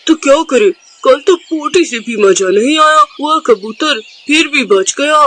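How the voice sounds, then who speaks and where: A man's voice: very high-pitched, animated, unhurried, sharp tone, exaggerated voice. A man voicing a mischievous, gleeful young bird sidekick in a cartoon. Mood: gleeful plotting.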